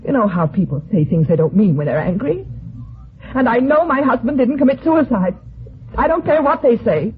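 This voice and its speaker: A woman playing an agitated grieving widow, halting and pleading, in an old-time radio detective drama. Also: fairly high pitch, big pitch swings, husky, heavily acted. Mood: defensive, indignant. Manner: halting, breathless protests and repeated denials. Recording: tinny band-limited vintage radio broadcast.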